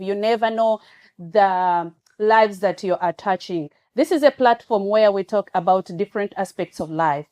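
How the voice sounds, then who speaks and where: A woman hosting an online talk show, making slow, repeated appeals to her viewers. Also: medium pitch, a little mellow.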